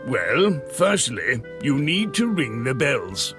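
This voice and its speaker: A man's voice: medium pitch, big pitch swings, takes it slowly, music in the background, pitched above the performer's own voice. A man voicing a merry chatty raven. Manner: chatty storyteller. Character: merry, friendly male raven.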